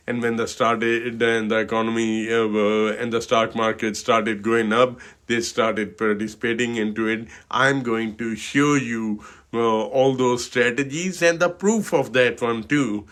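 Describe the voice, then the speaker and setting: A middle-aged man from India with an Indian accent, presenting a webinar as a financial advisor in a halting style full of fillers like "you know, okay". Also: mid-range voice, steady tone, a bit bright.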